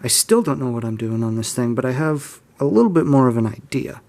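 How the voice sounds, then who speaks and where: A man's voice: mid-range voice, animated, a little fast, darkish tone. A man in his early twenties giving a casual, unscripted show-and-tell as a hobbyist video host, often unsure and self-deprecating. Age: early 20s.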